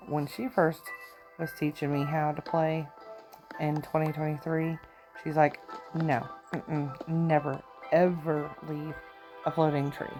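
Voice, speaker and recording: A middle-aged woman with a country accent talks through her gameplay in a chatty, giggly way. Her voice sits low and mellow. Faint game music plays underneath. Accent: Southern US country. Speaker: gamer. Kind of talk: casual gameplay commentary.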